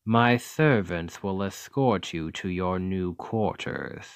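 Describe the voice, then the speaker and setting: A man voicing a smug, domineering demon king in an ASMR roleplay, speaking in a deliberate, condescending and coaxing way. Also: deep voice, slight background noise. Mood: confident, smug. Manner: slow, deliberate, condescending, seductive menace. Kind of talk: scripted ASMR roleplay monologue.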